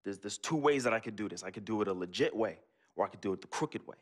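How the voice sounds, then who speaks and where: A boastful young hustler in a game cutscene, full of street slang. His pitch swings around animatedly as he talks himself up, with faint music behind. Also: animated, fast talker. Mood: defensive. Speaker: game character, hustling friend. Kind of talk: video game cutscene acting.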